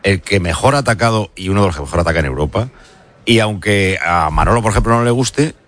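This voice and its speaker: Deep-voiced, rapid Spanish football pundit. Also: male voice, expressive, fairly bright tone. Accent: Spain. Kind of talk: unscripted sports debate.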